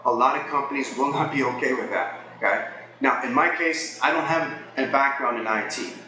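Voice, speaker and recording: Male vlogger, mid-pitched, conversational and explanatory, talking to viewers in a very reverberant space with people audible behind. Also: moderate pace, background noise. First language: English. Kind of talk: casual advice talk to viewers. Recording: echoey space with crowd chatter behind.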